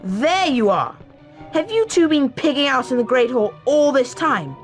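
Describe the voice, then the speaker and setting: A spiteful schoolboy in a video game. The highish voice swings with arrogant contempt over clearly audible music. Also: female voice, sing-song, measured pace. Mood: scornful. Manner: sneering, contemptuous.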